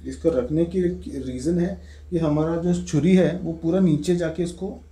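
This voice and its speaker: A man demonstrating a home recipe step by step, relaxed and explaining each move plainly. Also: a little high, measured pace. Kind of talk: casual step-by-step cooking demo. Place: home kitchen, indoors. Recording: echoey kitchen with faint noise.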